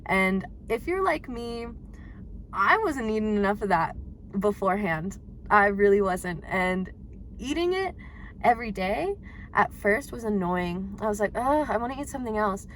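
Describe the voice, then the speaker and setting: A woman in her twenties talking casually to her viewers, chatty and quick to laugh. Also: mid-range voice, a little hiss or hum. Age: 20s. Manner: chatty, laughs often, animated. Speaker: vlogger. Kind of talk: casual talk to camera.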